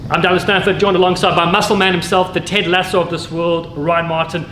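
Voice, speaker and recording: A man's voice: high-pitched, little pitch movement, a little fast, fairly bright tone, echoey room, slight background noise. A man with a South African English accent hosting an upbeat sports interview, asking punchy questions. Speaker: host and rugby commentator.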